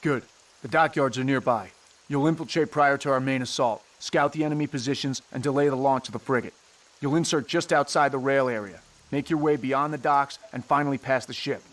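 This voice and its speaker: A man's voice: mid-range voice, a bit bright, distant mic, natural voice. A man playing a stern, decisive squad commander in a video game, giving terse, authoritative orders. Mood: businesslike command. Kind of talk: video game cutscene acting.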